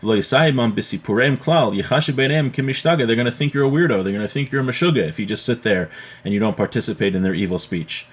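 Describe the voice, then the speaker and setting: A man's voice: mid-range voice, fast, breathy edge. A man giving an informal religious lesson, reading from a text and explaining it point by point with rhetorical questions. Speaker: religion teacher giving a class.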